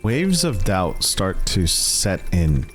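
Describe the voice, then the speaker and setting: A man running a tabletop game as game master, narrating in a deliberate, dramatic way. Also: deep voice, very animated. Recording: loud music behind the voice. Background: loud music.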